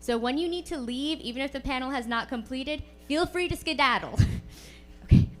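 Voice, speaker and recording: A woman's voice: high voice, moderate pace, soft background music. A woman moderating a panel discussion, playful and upbeat, joking with the audience as she sets up questions.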